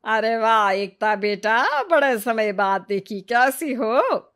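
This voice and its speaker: A woman's voice: fairly high pitch, lively intonation, slow, a bit bright. A woman voicing a kindly, welcoming middle-aged auntie in a cartoon, speaking affectionately.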